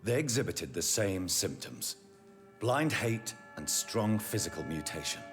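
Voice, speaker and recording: Over clear background music, a brooding vampire doctor in a dramatic game cutscene. The voice is fairly low with wide, expressive movement. He sounds stern, formal and accusing. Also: a little slow. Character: grave, accusing English gentleman vampire doctor, brooding and righteous.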